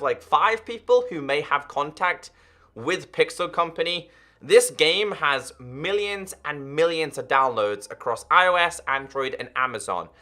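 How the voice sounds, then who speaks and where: A man's voice: on the high side, bright, forceful tone. A man in his twenties, a gaming YouTuber talking earnestly and directly to his viewers. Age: 20s. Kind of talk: direct-to-camera commentary.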